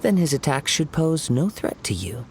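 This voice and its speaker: A man voicing a courteous, contemplative young wandering swordsman in a video game, speaking in a formal, measured, musing way. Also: a little high, very animated, a little hiss or hum. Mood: calm, courteous. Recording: background music. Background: game music.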